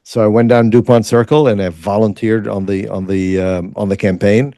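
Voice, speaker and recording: A man's voice: lowish voice, flat delivery, normal speed, dark tone, no room sound, clean studio sound. A man, telling his story earnestly as an interview guest, with many fillers. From Lebanon. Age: 50s. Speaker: guest, former state legislator.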